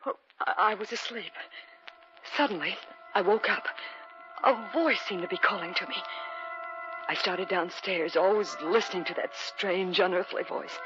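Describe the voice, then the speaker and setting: A woman's voice: medium pitch, normal speed, lightly acted. A woman playing a young wife in a 1950s radio drama. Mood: frightened. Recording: dull old radio recording with music.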